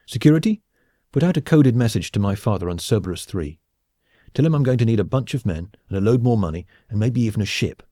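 A man's voice: lowish voice, animated, fast talker, mellow, dry recording, studio quality. A man voicing an entitled, swaggering rich heir with a bossy, demanding manner in an audiobook. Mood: angry, commanding. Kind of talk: audiobook character acting.

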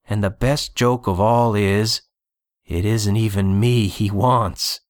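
A man doing a raspy, wounded, bitter sorcerer. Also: on the low side, fairly even intonation, slow pace, no echo, studio quality. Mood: bitter, haunted. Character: wounded, bitter, grimly defiant male sorcerer doctor.

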